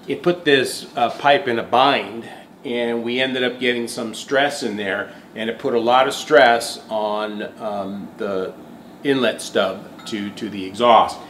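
A man's voice: medium pitch, a bit bright. A man in his fifties, a shop owner, talking candidly on camera in a workshop, thinking aloud with fillers and restarts. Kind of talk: casual on-camera explanation. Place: indoor workshop beside a welding machine. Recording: echoey workshop, faint background hum.

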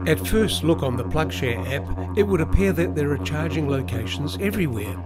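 Measured man narrating. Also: on the high side, animated, over loud music. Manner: measured, explanatory. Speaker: vlogger. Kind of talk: scripted voice-over narration.

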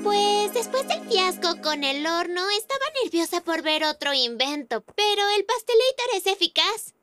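A woman voicing an eager, earnest young girl baker in a cartoon dub, with a bright, bouncy, expressive delivery. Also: extremely high pitch, moderate pace, dry recording, faint music behind, full character voice. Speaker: main character.

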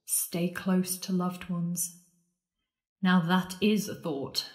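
A woman's voice: on the low side, level tone, slow, darkish tone. A woman playing a sardonic, frightened penitent at the world's end in an audio drama, babbling and joking wryly out of nerves. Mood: scared, wry. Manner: babbling, wry, joking nervously. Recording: echoey room.

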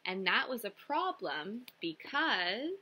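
A young woman reads a children's storybook in a bright voice. Her delivery is slow and deliberate, with very animated intonation and clear teacherly emphasis. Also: medium pitch. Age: young adult. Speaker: teacher reading a picture book. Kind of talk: expressive storybook read-aloud for children. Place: small indoor room with classroom wall displays.

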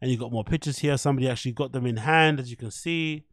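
A man's voice: mid-range voice, fast, no echo, studio quality. A man hosting a livestream, giving casual, opinionated commentary with slang and swearing. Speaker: host of a livestream show. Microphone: close desk microphone.

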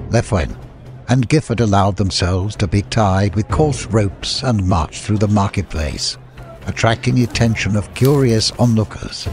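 A man reading a scripted story as an audiobook narrator, with a husky voice. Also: lowish voice, darkish tone.

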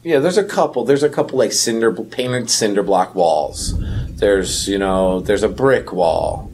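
A man with a husky voice in casual conversation as a podcast co-host. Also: lowish voice, lively intonation, measured pace, a little mellow, slight background noise. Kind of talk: casual chat.

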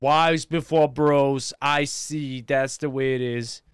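A man's voice: mid-range voice, steady tone, slow, background noise. A young man giving casual, joking and slangy reaction commentary into a close desk microphone. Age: young adult. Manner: slangy, mocking. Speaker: reaction video host. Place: indoor home streaming setup. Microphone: close desk microphone on arm.

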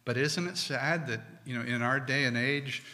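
A middle-aged man preaching a sermon from a pulpit, slow and deliberate with emphatic pauses. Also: medium pitch, sharp tone. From United States. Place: church sanctuary with stone wall, at a pulpit. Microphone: pulpit microphone. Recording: long hall reverb.

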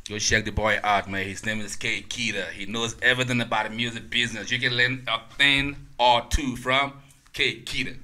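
A man's voice: mid-range voice, fairly even intonation, moderate pace, pushed and bright. A man hosting a podcast interview, talking casually into a close studio mic. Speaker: podcast host. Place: small office radio studio. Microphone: close studio mic on boom arm.

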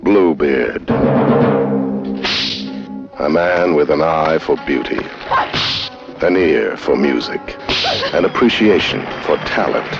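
A man's voice: extremely low pitch, expressive, takes it slowly, vocal fry, pauses often. A man announcing a 1970s movie trailer in a dramatic, portentous style. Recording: dull old trailer soundtrack, loud music.

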